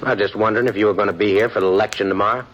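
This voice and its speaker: A low-voiced frontier bully. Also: male voice, fairly even intonation, rapid-fire, a bit bright. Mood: menacing. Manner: menacing at first, then stammering. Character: blustering frontier bully running for sheriff. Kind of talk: 1950s radio drama acting. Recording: muffled vintage radio broadcast with hiss.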